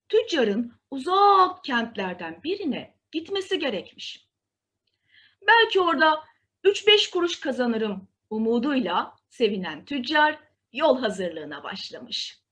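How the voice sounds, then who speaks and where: Lively high-voiced woman telling a fairy tale. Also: breathy edge. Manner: dramatic, deliberate storytelling delivery. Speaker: storyteller. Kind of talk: expressive children's fairy tale narration.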